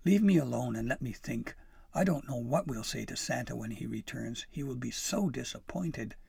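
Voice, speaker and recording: A man's voice: medium pitch, expressive, moderate pace, vocal fry, a little mellow, husky, no room sound, full character voice. A man voicing a feisty young female elf in a storybook, stern, indignant and exasperated but fond underneath. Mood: exasperated fondness. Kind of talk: acted storybook dialogue.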